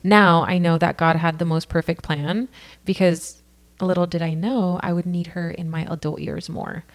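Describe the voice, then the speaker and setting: A podcast guest from California speaks in a slightly low voice with sincere, unhurried warmth. Her talk is casual and personal, loosely strung together with "like" and "you know.". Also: fairly even intonation. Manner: earnest, frequent "like" and "you know" fillers.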